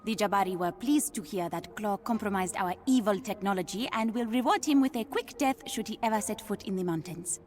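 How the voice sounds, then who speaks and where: An actress playing a composed, dryly witty young princess and scientist in a video game. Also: female voice, mid-range voice, a little fast, hardly any pauses, darkish tone, faint music behind, lightly acted. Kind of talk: cinematic video game acting.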